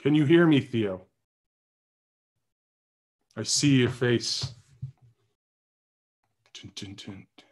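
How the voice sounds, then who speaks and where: A man's voice: medium pitch, lively intonation, extremely slow, long pauses, a little mellow. Male podcast host, chatty and jokey, talks politics off the cuff in a loose livestream. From New Hampshire. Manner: joking, chatty, thinks aloud. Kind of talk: casual political chat on a livestream.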